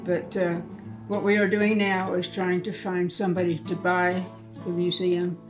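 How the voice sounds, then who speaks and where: Over clearly audible guitar music, an elderly woman speaks in a slightly low voice. She is plainspoken and halting, showing visitors around informally as the keeper of a small museum and shop. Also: level tone. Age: 80s. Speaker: museum and gift shop keeper giving a tour. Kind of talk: informal guided tour.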